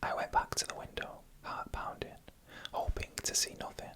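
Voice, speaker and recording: A narrator telling stories in a breathy, unvoiced ASMR whisper, in hushed and deliberate short phrases. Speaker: narrator and channel host.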